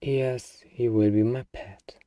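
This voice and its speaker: A man's voice: medium pitch, fairly even intonation, slow, warm tone, far from the mic, natural voice. A man playing an eager, bargaining demon who craves a cuddly pet, in a playfully earnest, wheedling ASMR roleplay monologue. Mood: coaxing, pleading.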